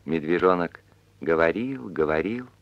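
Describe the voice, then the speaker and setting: A man playing the narrator of an animated fairy tale, telling it in a measured, unhurried way. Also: low voice, no room sound, muffled recording. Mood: calm storytelling. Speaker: storyteller narrator. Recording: old film soundtrack with music.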